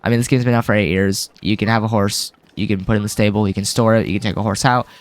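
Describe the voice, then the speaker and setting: A man's voice: on the low side, steady tone, fast talker, dry recording. A man hosting a let's-play, getting over a cold with a lingering cough, rambling casually with lots of fillers. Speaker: gaming video host.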